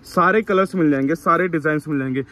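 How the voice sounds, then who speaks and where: A man's voice: fairly high pitch, fast, a bit bright. A man hosting a casual shop tour, eagerly prompting and addressing viewers with repeated interjections. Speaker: vlog host touring a wholesale shop. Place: busy open-fronted market shop. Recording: clear street and market background noise.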